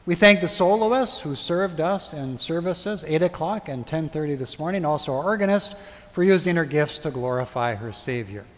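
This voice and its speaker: A middle-aged man leading prayers and a blessing as pastor, reading in a measured, solemn way in a large church. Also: a little high, expressive. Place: large brick church sanctuary. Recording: long church reverb.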